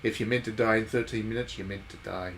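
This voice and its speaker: A man's voice: lowish voice, quick pace. A man giving casual live commentary as he streams a game, rambling and thinking aloud. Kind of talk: casual live commentary on a visual novel playthrough. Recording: steady background noise.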